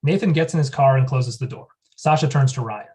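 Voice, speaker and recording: Brief: male reader for stage directions, mid-pitched, matter-of-fact. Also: fairly quick, darkish tone. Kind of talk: flat reading of scene directions.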